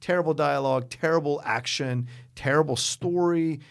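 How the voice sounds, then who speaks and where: A man's voice: on the high side, moderate pace, vocal fry. A man co-hosting a casual chat, speaking emphatically and swearing freely. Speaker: co-host of a movie list show.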